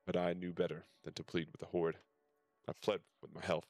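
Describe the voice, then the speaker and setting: A man with a low-pitched voice reads story dialogue from a game aloud for a stream. He drops in casual comments and sometimes trips over the text. Music plays behind him. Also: level tone, a little fast, a little mellow, dry recording. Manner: stumbles over text while reading aloud.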